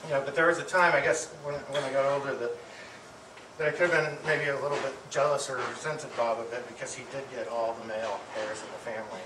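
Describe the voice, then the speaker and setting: A middle-aged man giving an affectionate eulogy to an audience, speaking haltingly with pauses and repeated words. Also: mid-range voice, steady tone. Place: medium-sized indoor hall with audience. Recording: distant mic, echoey room, clear background noise.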